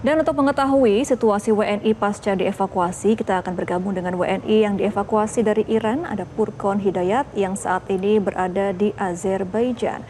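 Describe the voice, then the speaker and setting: A woman news anchor reading the news and interviewing a guest in a formal, measured manner. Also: medium pitch, steady tone, noisy background.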